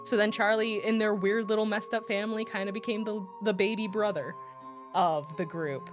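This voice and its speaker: A woman's voice: mid-range voice, fairly even intonation, normal speed. A woman talking casually and at length as a tabletop player, in an informal, wordy way. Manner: wordy, rambling, informal swearing. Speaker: tabletop role-playing player. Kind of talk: casual chat about their character's backstory. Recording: music playing behind the voice. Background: clear background music.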